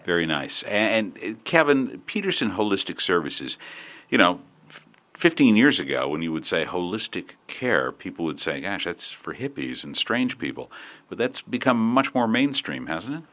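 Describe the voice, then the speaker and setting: Deep-voiced male radio host, animated. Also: normal speed. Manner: friendly, chatty questioning with some repeated starts. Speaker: radio talk show host. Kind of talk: business radio interview.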